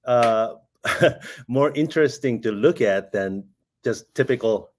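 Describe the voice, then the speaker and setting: A man in his fifties, born in Japan, talking about his career over a video call in a soft-spoken, hesitant way. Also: mid-range voice, takes it slowly, a little hiss or hum. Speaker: guest artist. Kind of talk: casual conversational interview. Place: home room, indoors.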